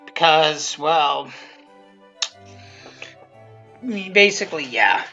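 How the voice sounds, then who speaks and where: A woman giving casual, unscripted video commentary, rambling and haltingly restarting her sentences as she goes. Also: deep voice, animated, vocal fry, sharp tone. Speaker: creator of a fan abridged series giving commentary. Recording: dull lo-fi sound with music underneath. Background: music from the video being commented on.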